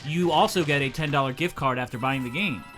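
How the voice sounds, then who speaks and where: A man's voice: a little high, fairly quick, a bit bright, faint music behind. A man co-hosting a gaming livestream, chatting casually and telling anecdotes over the gameplay. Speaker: livestream co-host.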